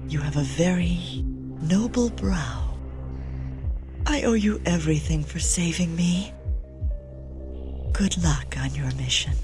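Anguished, slow-spoken vampire painter. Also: female voice, fairly low pitch, music in the background. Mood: tender gratitude. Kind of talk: video game drama acting.

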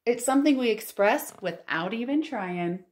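A woman in her thirties hosting a storytime for young children, reading aloud and chatting in an expressive, exclamatory way. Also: a little high, moderate pace. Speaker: children's librarian and storytime host. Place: living room at home.

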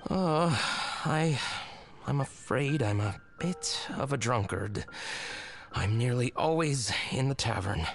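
An actor playing a sheepish, tipsy tavern regular in a video game, hesitant and halting. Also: male voice, mid-range voice, expressive, gravelly, faint music behind. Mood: sheepish, muddled.

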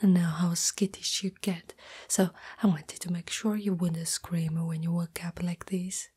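A woman playing a shy, loving young spider-girl in an intimate, breathy whispered ASMR roleplay, speaking hesitantly and afraid of rejection. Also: fairly low pitch, flat delivery, a little slow, mellow. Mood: gentle, confiding. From Italy.

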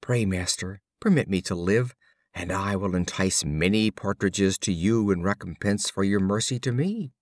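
A man's voice: low voice, moderate pace, no room sound, clean studio sound, natural voice. A man voicing a captured partridge in a fable, begging earnestly and courteously in a servile way. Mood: pleading.

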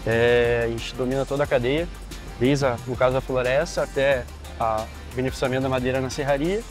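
A man's voice: medium pitch, fairly even intonation, brisk, faint music behind. A man with a Brazilian Portuguese accent, the company's process director, explains the sawmill in a plain, matter-of-fact way, often restarting and repeating words. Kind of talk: casual explanatory interview. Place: outdoors at a sawmill.

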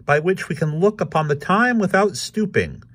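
Pompous gushy cartoon ostrich, way up high, flowery talk. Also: male voice, animated, moderate pace, a bit bright. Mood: gushing. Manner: florid, declamatory. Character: Walter Cephas Ostrich, grandiose, gushing cartoon ostrich.

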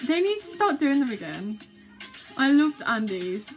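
A woman's voice: high voice, sing-song, unhurried. A young woman chatting casually in a gushing, giggly, exclamatory way, as a vlogger reacting to videos. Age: young adult. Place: small bedroom, indoors. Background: music from videos being watched.

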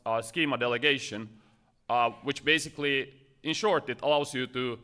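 A man's voice: medium pitch, a bit bright. Nervous man giving a tech talk. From Finland. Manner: nervous, many fillers and restarts. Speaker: conference speaker. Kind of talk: short technical conference talk. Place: conference stage.

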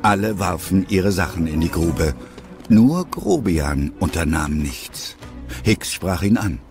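Male narrator, radio play for families, lower register, lively delivery. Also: slow pace, over music. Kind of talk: audio drama scripted narration.

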